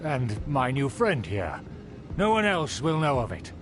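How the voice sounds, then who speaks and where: An actor playing a pompous, scheming aristocrat in a video game, speaking in a formal, theatrical manner. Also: male voice, medium pitch, big pitch swings, measured pace. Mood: haughty, boastful. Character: pompous scheming aristocrat man obsessed with clan glory. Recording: game dialogue with music behind.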